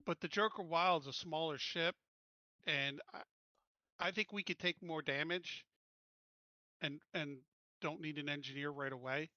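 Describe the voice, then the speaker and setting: Higher-pitched, bright-voiced man playing a game. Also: fairly even intonation, measured pace, pauses often, no echo, muffled recording. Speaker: tabletop game player. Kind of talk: casual chat during a game.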